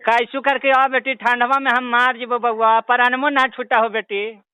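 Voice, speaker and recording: A man's voice: very high-pitched, flat delivery, normal speed, bright, forceful tone, smooth, dry recording. A man voicing a whiny, ailing old villager with a Bihari accent, pleading and rambling in a comic prank call. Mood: plaintive. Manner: pleading, rambling, repeatedly addressing the listener as daughter. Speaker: prank caller.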